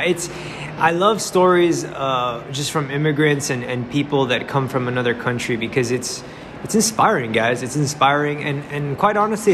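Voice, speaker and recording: A young man hosting a podcast interview, eager and chatty, calling his guest bro and man. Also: mid-range voice. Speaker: podcast host. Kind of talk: casual interview chat. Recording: background noise.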